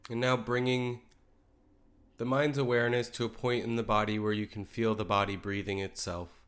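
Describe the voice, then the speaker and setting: A man leading a guided meditation in a calm, gentle, unhurried way, letting his phrases settle softly. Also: medium pitch. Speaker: meditation teacher.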